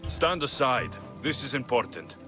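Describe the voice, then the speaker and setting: An actor playing a determined Greek mercenary hero in a game, with a blunt, terse and direct delivery. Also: male voice, mid-range voice, animated, a little slow, over music, lightly acted. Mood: insistent.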